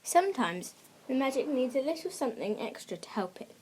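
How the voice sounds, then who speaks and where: High-voiced woman teaching children. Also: very animated, a little fast, a little mellow, breathy edge. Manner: simple, encouraging address to young listeners. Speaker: creative writing teacher and video host. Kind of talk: scripted instructional narration for children.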